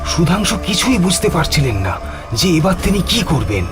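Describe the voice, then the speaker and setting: A man narrates a horror tale with a very lively, swooping delivery and a slightly high pitch. His telling is dramatic and suspenseful, with music clearly behind him. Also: normal speed. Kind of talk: scripted horror story narration.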